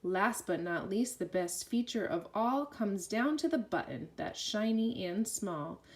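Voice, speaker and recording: A woman reading a rhyming children's story aloud with an expressive, sing-song delivery. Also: medium pitch, a little slow, a little hiss or hum.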